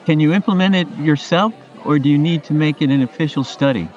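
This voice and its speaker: A man's voice: a little high, fast talker, darkish tone, highs cut off, lightly acted. A man playing a supportive, pragmatic friend in a radio play, questioning and advising conversationally. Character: Jerry, supportive, pragmatic male friend giving advice.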